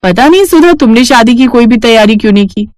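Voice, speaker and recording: A woman's voice: on the high side, expressive, fast talker, a little mellow, no echo, studio quality, lightly acted. A woman playing a practical, chiding elder sister-in-law in a cartoon family story. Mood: reproachful.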